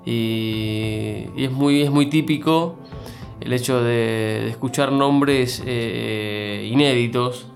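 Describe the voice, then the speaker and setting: A man's voice: mid-range voice, slow, creaky, soft background music. Cuban man with a part-Argentine accent, hesitant. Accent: Cuban with Argentine mix. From Cuba. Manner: hesitant, many fillers and restarts. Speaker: interview guest. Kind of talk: conversational interview.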